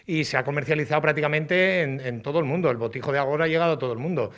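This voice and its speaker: A man with a southeastern Spanish accent from Alicante explains informally and haltingly, repeating words and adding tag questions, slightly muffled by a face mask. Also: fairly high pitch, a bit bright. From Agost, Alicante province, Spain. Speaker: artisan potter, interviewee. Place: indoors in old pottery workshop and brick kiln. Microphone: handheld reporter's microphone.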